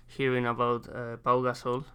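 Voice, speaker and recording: A young man from Spain with a Spanish accent, an exchange student answering interview questions casually and haltingly, pausing to search for English words. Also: medium pitch, monotone, bright, forceful tone, clear, resonant tone. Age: young adult.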